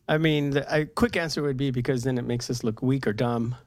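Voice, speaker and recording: A woman chatting candidly as a guest on a faith talk show, telling personal stories and acting out what people said. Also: a bass voice. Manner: animated retelling with acted-out quotes. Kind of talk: candid personal storytelling in casual chat.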